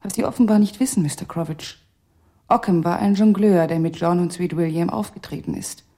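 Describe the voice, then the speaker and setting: An actress playing a living female ventriloquist's puppet in a radio crime drama, sorrowful and resolute, confessing solemnly, often in a breathy voice. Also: lowish voice, brisk, dark tone, close to an everyday voice. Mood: quietly accusing.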